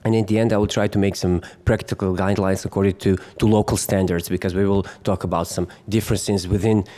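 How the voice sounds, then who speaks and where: A man with a Croatian accent lectures at a medical conference with a fairly low voice. He halts often, filling gaps with "uh" and restarting phrases, and now and then jokes with the audience. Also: flat delivery, fast talker, warm tone. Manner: hesitant, many fillers and restarts, jokes with the audience. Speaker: physician lecturer. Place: conference hall.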